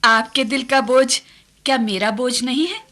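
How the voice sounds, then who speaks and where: An actress playing a conniving, flattering woman in a radio drama, with a honeyed, coaxing manner. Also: sits high, a little slow. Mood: sweetly deceitful. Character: conniving, flattering wife and eager sister. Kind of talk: Hindi radio drama acting.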